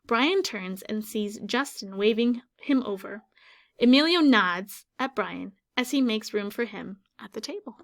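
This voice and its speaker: A woman teacher reading a children's picture book aloud, pausing to ask her listeners questions about the story. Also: medium pitch, animated, gravelly.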